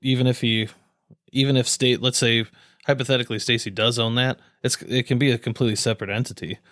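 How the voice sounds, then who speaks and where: An American man chats as a podcast co-host in a relaxed, informal way. His pitch is average for a man. The recording is dry, with almost no echo. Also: quick pace. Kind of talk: casual chat.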